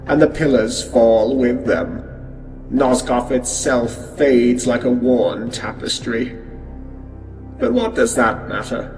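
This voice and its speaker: A mad, grieving sorcerer acted by a man, brooding and bitterly resigned. He speaks slowly with a gravelly edge, in a long cavernous reverb, as theatrical audio drama. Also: medium pitch, a little mellow. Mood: desolate. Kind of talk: fan audio drama acting. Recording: echoey cavernous reverb with music.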